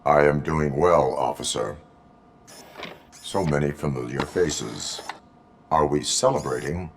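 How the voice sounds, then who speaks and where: A machine-like police cyborg in a video game with an extremely deep voice. He is stoic and dutiful, every phrase measured and flat in feeling. Background noise runs behind him. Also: gravelly, full character voice. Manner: slow, measured, deadpan, formal.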